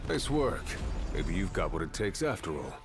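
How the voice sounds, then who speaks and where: An actor playing a seasoned middle-aged warrior who speaks calmly and drily. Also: male voice, sits low, moderate pace, over music. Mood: wry. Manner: dry, calm and reflective.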